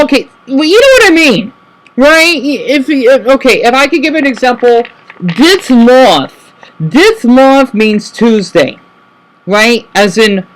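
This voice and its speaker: High-voiced man rambling to camera. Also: poor audio. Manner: rambling, self-correcting, frequent "right?" tag questions; spells words out slowly. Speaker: vlogger. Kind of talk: rambling casual monologue.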